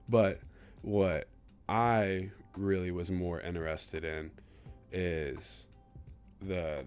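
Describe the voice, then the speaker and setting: Deep-voiced young man hosting a podcast. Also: vocal fry. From Kansas City, USA. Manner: earnest, reflective, loosely rambling. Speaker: podcast host. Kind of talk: solo podcast monologue.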